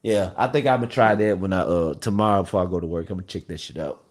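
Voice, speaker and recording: A man's voice: low-pitched, fast. A man chatting casually with a friend, rambling and slangy, restarting his sentences. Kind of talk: casual chat.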